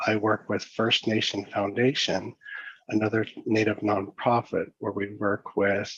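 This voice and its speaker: A man with a low, husky voice speaks in a measured, even way as he introduces himself to a panel. The sound is dull and lo-fi, close and dry with no room around it, like a video call. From northern British Columbia. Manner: opens with a greeting in his native language, measured. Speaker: program manager and trainer.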